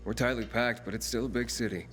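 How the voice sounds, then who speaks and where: A man's voice: mid-range voice, steady tone, fast, fairly bright tone, close to an everyday voice. An actor playing a wary, blunt and indignant young male survivor in a video game, with music behind him.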